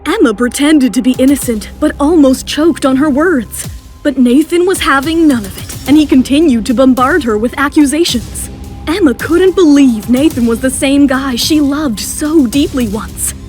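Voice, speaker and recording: A woman's voice: sits high, moderate pace, a little mellow. A woman reading dramatic scripted narration as the narrator of an audio drama. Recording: music behind the voice.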